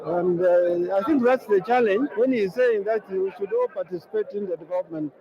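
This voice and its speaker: A man's voice: high-pitched, normal speed. A man giving unscripted remarks in a field recording. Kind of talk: spoken remarks to the press. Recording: dull outdoor field recording, crowd noise.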